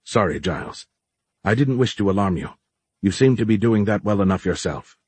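A calm, courteous mind-voice, performed by a male narrator, soft and low. It speaks in measured, formal phrases in a dry audiobook recording. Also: husky, no room sound. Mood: calm, apologetic. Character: calm, polite voice whispering inside someone's mind.

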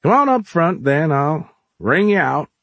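A weary old Southern shopkeeper, pitched well up and drawn out slowly. He sounds unhurried, fatherly and disappointed, in a dry audiobook recording. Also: big pitch swings, fairly bright tone, no room sound, full character voice. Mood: weary disappointment. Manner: slow drawl, disappointed fatherly tone.

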